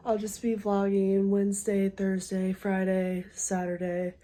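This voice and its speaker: A woman's voice: mid-range voice, completely flat delivery, measured pace, dark tone. A young woman, a college student, chatting casually to camera in a vlog, a bit congested and using lots of fillers. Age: young adult. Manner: chatty, filler-heavy, upbeat.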